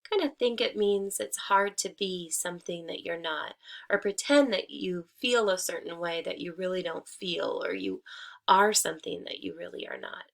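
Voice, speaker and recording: A woman teaching and reading aloud to children, speaking deliberately and expressively and addressing them directly. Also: on the low side, creaky. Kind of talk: children's read-aloud, instructional storytelling.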